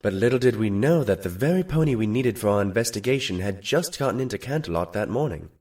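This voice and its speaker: A man's voice: fairly low pitch, lively intonation, fast talker, darkish tone. A man playing a loyal pony sidekick and narrator in an audio drama, polite, earnest and wry. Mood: measured narration.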